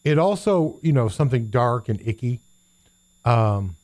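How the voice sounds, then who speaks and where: A man's voice: mid-range voice, lively intonation, a little slow, darkish tone. Folksy pastor man, plain-spoken. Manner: folksy, conversational preaching.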